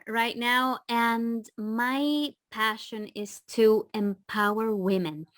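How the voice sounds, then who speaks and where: Halting woman in her forties. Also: on the high side, fairly bright tone, dry recording. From Dominican Republic. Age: 40s. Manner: halting, with many restarts and ums. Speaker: podcast guest, leadership coach. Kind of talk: casual interview talk.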